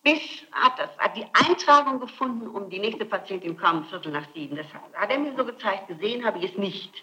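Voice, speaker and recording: A woman's voice: medium pitch, animated, fast, sharp tone, dull audio. A middle-aged woman being questioned as a suspect, defending herself insistently and repeating her points emphatically. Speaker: suspect under interrogation. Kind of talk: defensive testimony in an interrogation. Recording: noisy archival tape recording.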